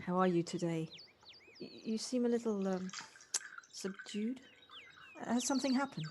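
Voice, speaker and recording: A woman's voice: medium pitch, animated, moderate pace, background noise, natural voice. A woman in an amateur audio drama playing an exuberant, affectionate lady, eager and effusive, often repeating her words. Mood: polite, earnest. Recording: home recording on a personal device.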